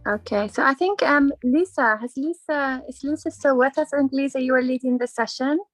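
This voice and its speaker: A woman moderating an online conference session over a video call, hesitant with many pauses and restarts. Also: high-pitched, no room sound, faint music behind, low-quality recording.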